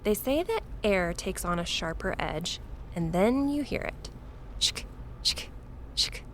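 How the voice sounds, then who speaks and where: A woman's voice: medium pitch, expressive, slow pace, fairly bright tone, a little hiss or hum. A woman playing a mystical ghost tour guide in an audio drama, ominous and savoring her spooky tales. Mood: eerie relish.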